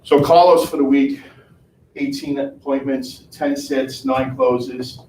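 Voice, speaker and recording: Male meeting leader, brisk and casual, roomy room with faint noise. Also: medium pitch, steady tone, dark tone. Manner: reads rankings out in a brisk list, then chats casually. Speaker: sales team leader. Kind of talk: meeting in front of a group.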